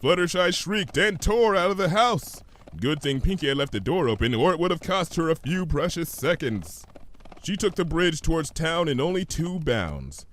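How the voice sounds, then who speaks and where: A man reading a story aloud as an expressive narrator. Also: fairly high pitch, moderate pace, a little hiss or hum. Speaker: story reader. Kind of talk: expressive scripted narration of fan fiction.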